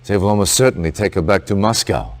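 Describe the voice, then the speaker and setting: A weighty, resolute military leader acted by a man briefs his commander in a game cutscene. The voice is low, and the delivery is deliberate, authoritative and dramatic. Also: close to an everyday voice. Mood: grave, urgent.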